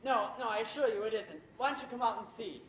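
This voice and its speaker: A man's voice: sits high, fast talker, fairly bright tone. Suave, wry male schemer for a stage thriller, played by a man, middle-aged, murderous and polite, on a noisy, echoey recording. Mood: feigned calm. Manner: dryly sardonic, hesitant polite phrasing. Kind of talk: theatrical stage thriller acting.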